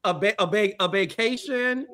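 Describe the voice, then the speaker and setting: Man, high voice, host, teasing questions. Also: normal speed, bright, forceful tone. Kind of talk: casual chat.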